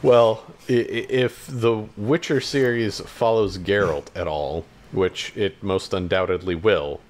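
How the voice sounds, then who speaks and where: A man's voice: on the low side, a little slow. A man co-hosting a podcast in casual chat, matter-of-fact and questioning, feeling his way through sentences. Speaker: podcast co-host.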